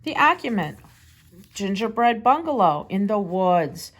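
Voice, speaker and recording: A woman reading a children's picture book aloud with expressive, deliberate phrasing. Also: mid-range voice.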